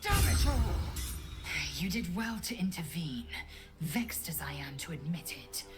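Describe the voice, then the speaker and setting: A woman's voice: on the low side, sing-song, a little slow, loud music behind the voice. An actress voicing a proud, fierce githyanki warrior woman in a fantasy game, speaking in a formal, clipped, imperious way. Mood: exasperated. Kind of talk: fantasy video game acting.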